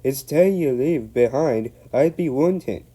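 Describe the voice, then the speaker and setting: A man voicing a grumbling male steam engine with a gruff, scolding Scottish accent. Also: medium pitch, animated, unhurried, mellow, pitched above the performer's own voice.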